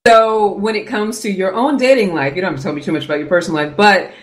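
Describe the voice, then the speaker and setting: A woman's voice: mid-range voice, quick pace. An American woman hosting a live call-in radio interview, upbeat and affirming in a chatty way. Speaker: radio show host.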